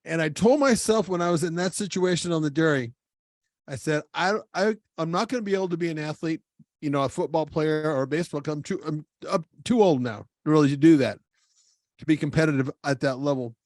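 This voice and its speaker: A man's voice: on the high side, a little fast, a little mellow, no echo, studio quality. Chatty middle-aged man hosting a podcast. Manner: chatty, self-deprecating, laughs easily. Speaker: podcast host. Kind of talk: casual interview chat.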